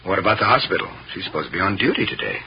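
Low-voiced, protective American man in radio drama. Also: big pitch swings, racing. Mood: worried. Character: Paul, protective, troubled man of a family. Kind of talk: 1950s radio serial acting. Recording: muffled old radio recording with noise.

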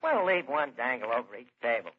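An actor playing a wisecracking, blustering New York tavern manager in an old-time radio comedy, with slangy, hesitant patter. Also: male voice, mid-range voice, big pitch swings, brisk, husky. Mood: self-important. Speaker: comedy show lead. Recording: dull, noisy old radio recording.

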